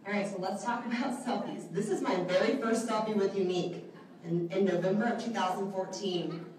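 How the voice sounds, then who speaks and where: Soft-voiced woman teaching in an echoey room. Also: mid-range voice, fairly even intonation, fairly quick, recorded from a distance, a little hiss or hum. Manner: chatty, asks the group questions, many okays and rights. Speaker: trainer teaching a selfie workshop. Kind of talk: live instructional talk to a group. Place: indoor room with a large window, small audience present. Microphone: handheld microphone.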